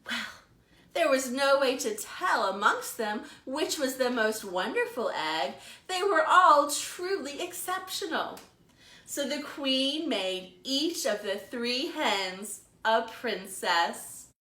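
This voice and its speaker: An American woman, a children's librarian, telling a story to young children with deliberate, dramatic emphasis. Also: high-pitched, lively intonation, sharp tone. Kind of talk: expressive storytelling for preschoolers. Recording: roomy echo.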